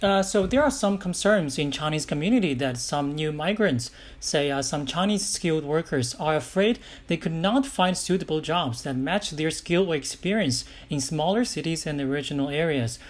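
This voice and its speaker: A man with a fairly high-pitched voice acts as an interviewer. He puts formal, prepared questions in a polite and somewhat halting manner. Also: expressive.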